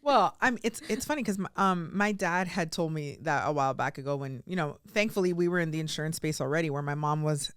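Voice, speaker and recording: A woman's voice: sits low, expressive, a little fast, few pauses, a bit bright, clear, resonant tone, dry recording. A young woman hosting a podcast, chatting in a gushing, emotional way with lots of fillers. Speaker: podcast host. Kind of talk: casual interview chat.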